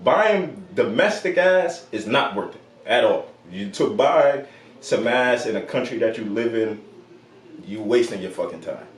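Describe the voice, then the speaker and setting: A man's voice: mid-range voice, animated, unhurried, echoey room, distant mic. A man co-hosting a podcast who talks laid-back and laughs often. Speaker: podcast co-host. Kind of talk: casual chat.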